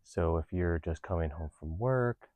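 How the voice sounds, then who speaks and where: A man leading a guided meditation, giving gentle instructions in a calm, unhurried, reassuring manner. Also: sits low, no room sound, narrow, tinny audio. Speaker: meditation teacher.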